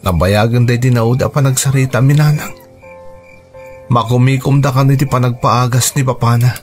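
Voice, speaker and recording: A man's voice: medium pitch, steady tone, normal speed, natural voice. A man with an Ilocano accent playing a lovesick, hardworking young farmer who broods anxiously, in a radio drama. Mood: weary, dutiful. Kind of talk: Filipino radio drama acting. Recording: music under the voice.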